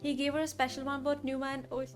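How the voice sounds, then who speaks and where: Young woman streamer: high-pitched, gushing, slangy reaction commentary, faint game music behind. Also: quick pace. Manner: excitable, gushing fan, slangy. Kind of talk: casual live reaction commentary.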